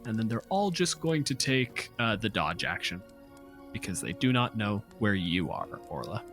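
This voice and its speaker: A man's voice: fairly low pitch, big pitch swings, moderate pace, a bit bright, soft background music. A man running a tabletop game as game master, narrating and explaining rulings in a casual, profane way.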